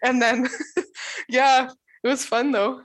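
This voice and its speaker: Woman, fairly high voice, chatty, lots of "like", frequent laughs. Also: flat delivery, slow pace. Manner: chatty, fills speech with "like"; laughs often. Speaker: interviewee, new employee. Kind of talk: casual conversation.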